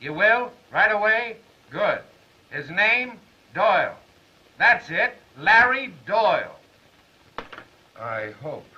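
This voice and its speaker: An actor playing a gruff, impatient, skeptical male newspaper boss in a 1930s film. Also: high voice, sing-song, slow, pauses often, pushed and bright, a little hiss or hum, full character voice. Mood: urgent, brusque. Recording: dull 1930s film soundtrack.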